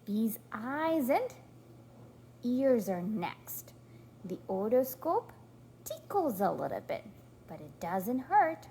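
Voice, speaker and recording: Woman reading aloud, very lively pitch. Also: takes it slowly, long pauses, slight background noise. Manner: encouraging, prompting and praising. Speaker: parent reading aloud to a young child. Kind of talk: read-aloud storytime to a toddler.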